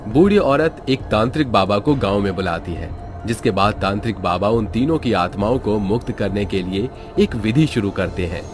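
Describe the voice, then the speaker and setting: A man with a somewhat low voice narrates a spooky cartoon tale in a steady, even storytelling manner. Music runs underneath his reading. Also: normal speed.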